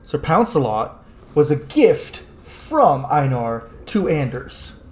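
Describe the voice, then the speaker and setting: A man's voice: a little high, very animated, slow pace. A man giving casual let's play commentary on a video game, chatty and sweary with frequent asides. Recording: echoey room with faint background noise.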